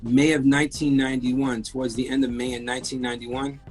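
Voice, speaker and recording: A man's voice: medium pitch, little pitch movement, quick pace, faint music behind. A man in his fifties, born in France, telling his career story as a guest in a casual interview, candid and rambling with many restarts. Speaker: guest, music engineer.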